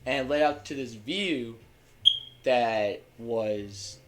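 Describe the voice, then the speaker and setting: A man's voice: medium pitch, slow pace, music in the background. A young man co-hosting a casual podcast, rambling and stumbling over words, swearing freely. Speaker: podcast co-host. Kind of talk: casual chat.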